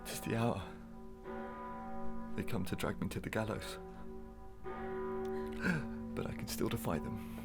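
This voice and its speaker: An actor playing a bitter condemned husband, menacing and declamatory, in a Victorian melodrama for audio. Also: male voice, on the low side, a little slow, gravelly, long pauses, over loud music. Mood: desperate. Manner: declamatory, archaic, dramatic speech.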